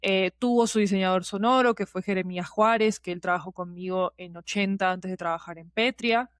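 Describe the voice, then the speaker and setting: A woman's voice: mid-range voice, fairly quick, clear, resonant tone, no room sound. Talkative woman, close dry recording. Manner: talkative, digressive, emphatic repetition. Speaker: podcast guest, scriptwriter and producer. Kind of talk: conversational interview answers.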